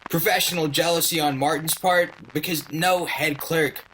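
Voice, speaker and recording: A man's voice: fairly high pitch, fairly even intonation, moderate pace, a bit bright, slight background noise, lightly acted, poor audio. A man playing a proud, chatty shopkeeper who tells his story in a rambling, emphatic, old-time radio manner. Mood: fond, chatty. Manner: rambling, self-interrupting, emphatic. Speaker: narrator in character.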